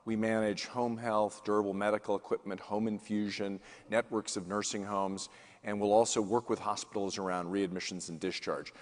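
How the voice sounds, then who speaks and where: Male executive, panel guest, earnest, restarts a lot, lowish voice, big reverberant hall. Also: little pitch movement, moderate pace. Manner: earnest, restarts phrases often. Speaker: CEO guest speaker. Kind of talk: conference panel interview. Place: conference stage in a large hall.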